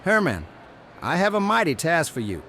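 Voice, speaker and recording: A man voicing a plump old gnome elder with a creaky voice and quaint, deliberate, formal phrasing, in a fantasy audio story. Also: fairly high pitch, wildly swooping pitch, a bit bright, slight background noise, full character voice. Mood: brisk, commanding.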